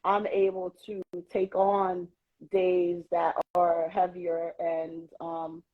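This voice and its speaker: A woman's voice: fairly low pitch, completely flat delivery, slow pace. Reflective woman from Queens, lo-fi stream. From New York City, Queens. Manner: reflective, faith-centered, many "um" and "you know" fillers. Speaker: podcast guest. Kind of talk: casual interview chat. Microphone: phone on a live social video stream. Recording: lo-fi livestream audio.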